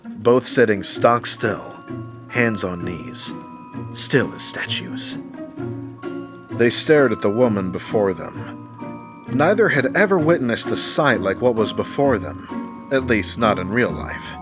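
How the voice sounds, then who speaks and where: Male storyteller over clear background music. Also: fairly low pitch, animated, takes it slowly. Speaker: narrator. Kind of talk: scripted storytelling narration.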